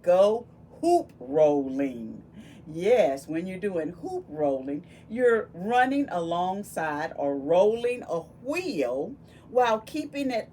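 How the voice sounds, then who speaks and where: A woman reading a picture book aloud to young children, expressive and full of wonder, pausing to ask them questions. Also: on the low side, a little hiss or hum. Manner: animated, exclaiming with gasps and wonder, addressing young children. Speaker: volunteer children's read-aloud storyteller.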